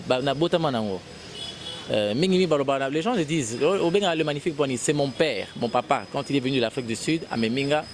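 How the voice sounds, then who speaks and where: A man's voice: mid-range voice, a little hiss or hum. A Congolese man with a Congolese French accent, answering interview questions casually and switching between French and Lingala. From Congo (DRC), Kasai. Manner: mixes French and Lingala, rambling with restarts. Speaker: interviewed actor.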